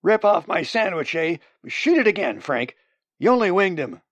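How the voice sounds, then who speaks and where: A man voicing an excitable, crude hunter who jeers and cackles in a storybook tale. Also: a little high, normal speed, creaky, slightly rough, no echo, lo-fi sound, voice pushed up, full character voice. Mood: spiteful. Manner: shouting, jeering, cackling. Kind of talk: storybook character voice.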